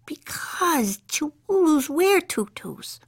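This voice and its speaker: A woman's voice: very animated, slow, no echo, clean studio sound, full character voice. A woman voicing gushing little girl kitten sisters in a storybook. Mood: matter-of-fact. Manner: squeaky, gushing, giggly.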